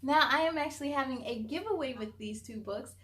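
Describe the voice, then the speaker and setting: A woman hosting a children's read-aloud, expressive and encouraging as she speaks to young listeners. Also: high voice, a little fast, breathy edge. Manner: expressive, encouraging, addresses young viewers directly. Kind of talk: animated storybook reading for children.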